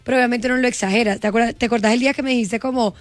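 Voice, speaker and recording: A woman's voice: a little high, fairly even intonation, rapid-fire, no room sound. Playful woman radio co-host, dry sound. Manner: playful, mock-indignant. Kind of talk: joking call-in radio banter.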